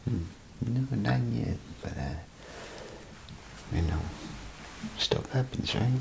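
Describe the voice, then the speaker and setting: A man doing soft-spoken, partly whispered ASMR role play as an easygoing bathroom attendant, murmuring unhurried, hesitant small talk over background noise. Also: medium pitch, lively intonation, gravelly.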